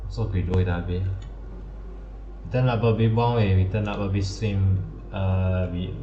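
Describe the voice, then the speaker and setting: Casting: low male gamer, native Malay speaker, offhand livestream talk, muffled sound. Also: flat delivery, moderate pace. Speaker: game streamer. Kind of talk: casual chat over gameplay. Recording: dull, echoey microphone.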